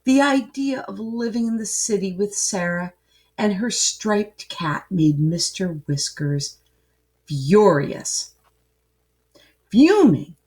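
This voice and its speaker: A woman reading a children's picture book aloud as a storyteller, expressively and with deliberate phrasing. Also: on the low side, darkish tone.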